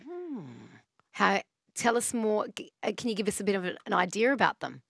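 A woman's voice: mid-range voice, animated, brisk, creaky, breathy edge, dry recording. A woman hosting a casual radio chat, upbeat and reading out listener texts in the studio. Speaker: radio host. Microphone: studio microphone.

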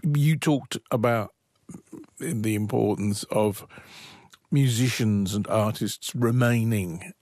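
A man's voice: lowish voice, slow, darkish tone, no room sound, clean studio sound. A man hosting an interview, asking questions with hesitant, stammering restarts. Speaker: interview host.

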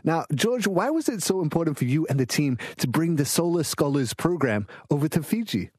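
Male interviewer for radio, lighter-pitched voice, casual enthusiastic delivery with slang, clean dry sound. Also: big pitch swings, fairly quick, a little mellow, slightly rough, no echo.

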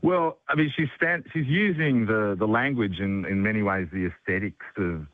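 Deep-voiced man on a tinny phone line. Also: normal speed, dry recording. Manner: hesitant, many fillers and restarts. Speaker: political consultant, radio guest. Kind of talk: radio talk analysis.

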